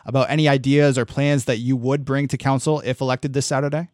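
A man's voice: medium pitch, level tone, a little fast, a little mellow, no echo, studio quality. A man hosting a radio interview, asking questions with hesitant uh fillers and repeated words.

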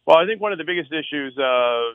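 A middle-aged man from Six Nations in Canada, a council candidate on a phone-in radio interview, earnest and loud-voiced, with many uh fillers and restarts. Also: a little high, expressive, quick pace, dry recording. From Six Nations, Ontario, Canada. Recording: dry tinny phone line.

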